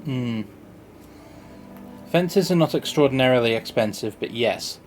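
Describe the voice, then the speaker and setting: A man's voice: medium pitch, lively intonation, a little slow, slight background noise. A man giving casual gameplay commentary, thinking aloud and muttering to himself as he plays. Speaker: streamer playing a park-building game.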